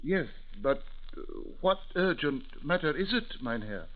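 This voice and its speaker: An actor playing a fretful, fussy older uncle in a vintage radio drama, hesitant and theatrical. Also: male voice, fairly high pitch, lively intonation, unhurried. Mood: uneasy. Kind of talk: 1940s radio drama acting. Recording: noisy, muffled old radio recording.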